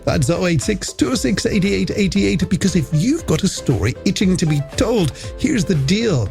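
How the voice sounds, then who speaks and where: Animated male late-night radio host. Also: high voice, normal speed, vocal fry. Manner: chatty, playful, scripted alliterative patter. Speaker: radio presenter. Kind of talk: late-night music radio show hosting. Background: music.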